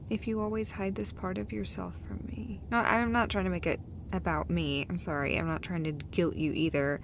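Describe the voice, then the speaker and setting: Woman acting soft, slow-talking, caring girlfriend. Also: medium pitch, background noise. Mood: hesitant, vulnerable. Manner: soft-spoken, often dropping to a whisper, hesitant. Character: caring, gentle, casually sweary girlfriend comforting her partner. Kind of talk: intimate roleplay audio acting.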